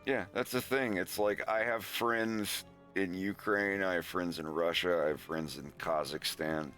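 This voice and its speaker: Very deep-voiced rambling American man. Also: steady tone, unhurried, a bit bright, soft background music. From United States. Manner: rambling, frequent "like" and "dude", swearing. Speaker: streamer. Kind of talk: casual livestream chat.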